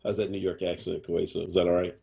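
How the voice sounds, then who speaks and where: A man's voice: sits low, quick pace, gravelly, muffled recording, slight background noise. A man hosting a morning radio show in casual chat, joking and teasing, with a Boston accent. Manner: animated, joking, does mock voices. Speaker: radio host.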